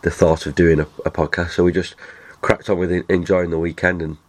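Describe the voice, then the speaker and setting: Low-voiced man, nasal with a cold. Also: little pitch movement, a little fast. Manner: rambling, chatty, many restarts. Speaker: podcast host. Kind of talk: casual chat.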